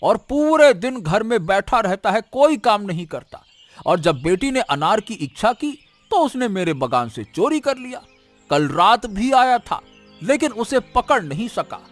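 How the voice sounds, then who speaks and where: A man acts out a scheming village orchard owner from a cartoon fable. His voice sits high for a man and he blusters, barking repeated orders like a bully. Faint music runs behind him. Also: very animated, a little slow. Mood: pleading, anxious. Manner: blustering, exclamatory, repeats commands. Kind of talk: Hindi cartoon moral-story voice acting.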